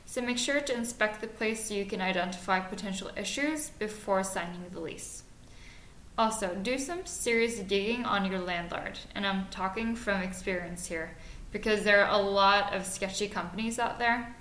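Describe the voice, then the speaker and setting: A woman in her twenties from Norway, co-hosting a podcast and giving practical advice in a measured way with frequent fillers. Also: mid-range voice, a bit bright. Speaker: podcast co-host. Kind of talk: scripted podcast chat. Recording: echoey room, faint noise.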